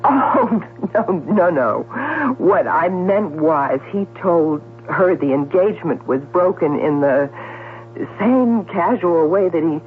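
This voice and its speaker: Brisk woman columnist, coolly solicitous and self-possessed, 1970s radio acting style, narrow old broadcast sound with light hum. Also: fairly low pitch, lively intonation. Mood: flustered. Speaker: actress in a radio mystery. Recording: tinny, humming old radio broadcast recording.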